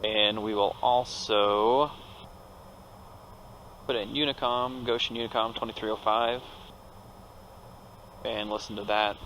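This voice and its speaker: A man's voice: lowish voice, steady tone, takes it slowly, pauses often, fairly bright tone, a little hiss or hum, poor audio. A man who is a pilot, casually talking through technical steps in a cockpit as he flies. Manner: technical, step-by-step. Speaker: pilot and flight vlogger. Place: cockpit of a turboprop plane in flight.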